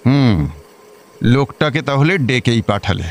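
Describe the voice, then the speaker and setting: Police superintendent, slow delivery, swooping intonation, nonchalant, dismissive, radio comedy. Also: male voice, mid-range voice, lively intonation. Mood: businesslike. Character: nonchalant, brisk male district police superintendent. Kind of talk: Bengali radio comedy acting. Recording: faint background noise.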